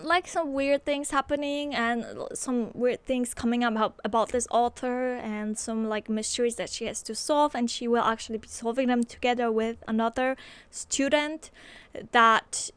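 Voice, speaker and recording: A woman's voice: on the high side, normal speed, clean tone, dry recording. Chatty woman reviewing books. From Poland. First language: Polish. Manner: chatty and enthusiastic, many fillers like "like" and "um". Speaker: book reviewer. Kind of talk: casual book review vlog. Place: small bedroom with bookshelves, indoors. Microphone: handheld microphone close to mouth.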